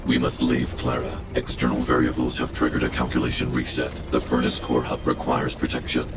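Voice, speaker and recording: Very deep, cold guardian robot. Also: male voice, completely flat delivery, fairly quick, noisy background. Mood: cold, calculating. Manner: formal, clipped, emotionless, calculating delivery. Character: towering cold logical male guardian robot. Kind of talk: video game character dialogue.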